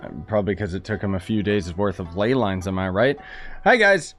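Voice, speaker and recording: A man's voice: on the low side, expressive, a little fast, a bit bright. Sardonic man livestreaming over faint game music. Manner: sardonic, grumbling.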